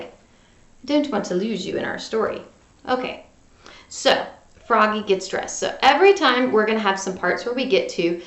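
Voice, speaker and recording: A woman teacher talking directly to young children in an animated, conversational read-aloud lesson style. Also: fairly high pitch. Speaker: music teacher and storyteller for children. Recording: roomy, echoing home recording.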